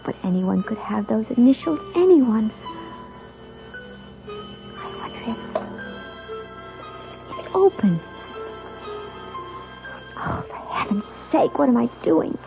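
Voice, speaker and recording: A high-pitched, frightened young wife in an old radio mystery. She speaks haltingly and pleads, doubling back on her words. The recording is dull, with faint music behind her. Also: gravelly, full character voice. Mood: torn, fearful. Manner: halting, pleading, repeats herself. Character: anxious young newlywed wife, frightened and in denial.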